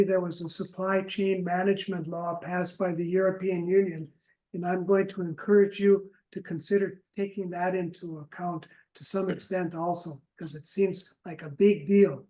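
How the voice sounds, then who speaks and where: High-voiced upbeat male instructor, roomy echo. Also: little pitch movement, dark tone. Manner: upbeat, brisk, keeps things moving. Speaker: course instructor and moderator. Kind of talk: classroom moderation. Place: classroom.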